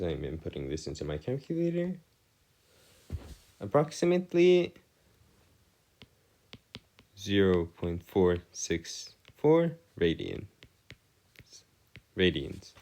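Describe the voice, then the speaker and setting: Man, math tutor, unhurried pace, very varied intonation, formal wording, frequent hesitations. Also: deep voice, darkish tone. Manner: formal, wordy phrasing. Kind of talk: explanatory maths lesson over written working.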